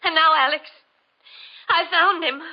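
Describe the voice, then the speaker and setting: An actress playing a vengeful daughter, intense and accusing, in a 1940s radio drama. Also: female voice, extremely high pitch, unhurried. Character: vengeful daughter confronting her father's killer. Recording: muffled old radio recording.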